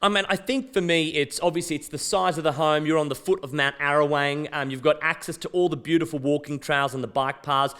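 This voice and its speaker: A man, a real estate agent with a loud voice, enthusiastically talking through a house on camera. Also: a little high, fairly even intonation, brisk, few pauses, clear, resonant tone. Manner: loud, enthusiastic, self-joking. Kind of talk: property walkthrough chat. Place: outdoors at a house.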